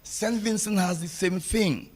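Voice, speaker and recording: A man's voice: high voice, unhurried, a little mellow. A man giving a public ceremony speech as a table tennis coach, blunt and repeating phrases for emphasis.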